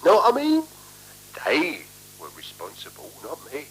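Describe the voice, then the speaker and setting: A man's voice: on the high side, huge pitch swings, normal speed, low-quality recording. A male actor in a radio drama playing a cowardly, self-excusing man, stammering, groaning and plaintive. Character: whining, cowardly, self-excusing man pleading under threat. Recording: 1970s radio recording with faint hum.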